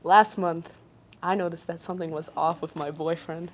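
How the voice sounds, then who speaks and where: A woman's voice: on the low side, steady tone. Woman reading a story, joking as she goes. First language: not English. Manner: reads haltingly, breaks off to joke and laugh. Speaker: podcast co-host reading a story aloud. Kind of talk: casual chat, reading a ghost story aloud.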